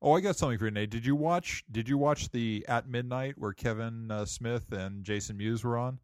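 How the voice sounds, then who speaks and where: A man co-hosting a casual podcast, enthusiastic and quick to interject. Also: mid-range voice, dry recording, studio quality. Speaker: podcast co-host.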